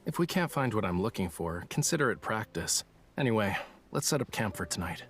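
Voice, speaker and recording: A man's voice: on the low side, very animated, a little fast, fairly bright tone. An actor playing an aloof, teasing young man in an English game dub, with a dramatic manner and music underneath. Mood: smooth, confident. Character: aloof, teasing young man with fire powers, scared of cats. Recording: background music under dialogue.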